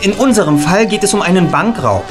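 A young male sleuth in a radio play. He questions formally and precisely, his pitch moving a good deal. Music runs clearly underneath. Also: lively intonation, fairly quick. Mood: businesslike. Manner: articulate, formal, deductive. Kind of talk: German audio drama acting.

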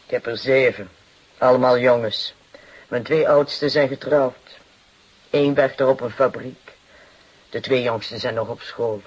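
Brief: worn, aggrieved working-class mother for radio drama, very husky and breathy, plaintive, hesitant delivery. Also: mid-range voice, fairly even intonation, measured pace, long pauses, highs cut off. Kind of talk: Dutch radio drama acting.

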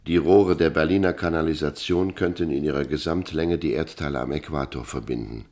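A man playing a sober narrator in a radio drama, reading formal prose in a measured, factual manner. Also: a bass voice, darkish tone. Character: sober documentary-style male narrator.

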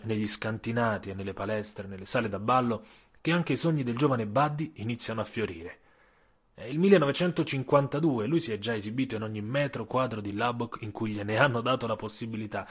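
A man narrating a scripted audio story in a theatrical way, with emphatic phrases, deliberate pauses and incantatory repetition. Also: fairly low pitch, husky. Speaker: author and narrator.

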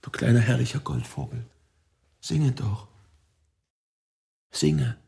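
Wildly swooping, theatrical fairy-tale emperor. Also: male voice, medium pitch, wildly swooping pitch, slow, gravelly, mellow, exaggerated voice. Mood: desperate pleading. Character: ailing, pleading emperor-captain of a dreamlike fairy tale. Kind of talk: experimental radio play acting.

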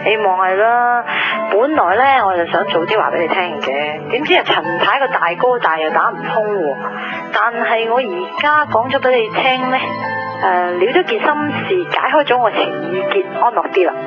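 Music plays clearly behind a dull radio recording of an actress playing a young woman confiding in her friend. Her voice is mid-pitched, casual and chatty, candid and caring. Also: lively intonation, moderate pace, lightly acted. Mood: confiding, relieved. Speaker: actor in a radio drama. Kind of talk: casual conversational radio drama acting.

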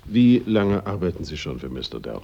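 Very deep, gravelly police inspector. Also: male voice, very animated, warm tone, exaggerated voice. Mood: probing. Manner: measured, courteous, probing. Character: composed, methodical male police inspector questioning witnesses. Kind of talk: radio crime drama acting. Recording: muffled radio drama recording, faint noise.

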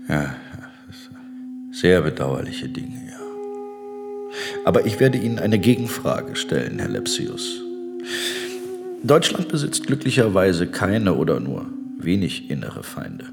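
Deep-voiced, imperious war minister. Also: male voice, huge pitch swings, takes it slowly, vocal fry, long pauses, over music, full character voice. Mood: condescending, insistent. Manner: formal, condescending, rhetorical. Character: imperious, cold Ottoman war minister, smoothly justifying atrocities. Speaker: actor in a radio drama. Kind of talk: German radio play acting.

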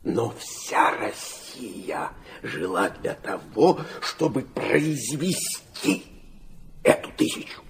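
An actor playing an anguished, idealistic middle-aged nobleman in a radio drama, confiding and philosophizing in a theatrical, halting manner. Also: medium pitch, very animated, noisy background, exaggerated voice. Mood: fervent, solemn.

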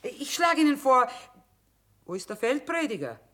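An actress playing a brisk, commanding middle-aged mother who trades from a wagon in wartime, in a German radio play. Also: high-pitched, very animated, fairly bright tone. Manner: commanding, no-nonsense.

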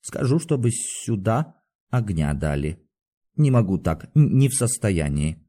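A man's voice: lowish voice, big pitch swings, measured pace, dark tone. A man voicing a cultured, weak-willed aging doctor in an audiobook, pleading and exclaiming in educated speech. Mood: uneasy.

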